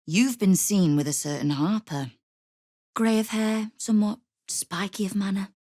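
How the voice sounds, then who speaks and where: A woman's voice: medium pitch, a little slow, mellow, no room sound, lightly acted. An actress playing a tough, sardonic woman who runs a city thieves' guild in a fantasy video game, with a dry, commanding manner. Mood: wary, wry. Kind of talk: video game character acting.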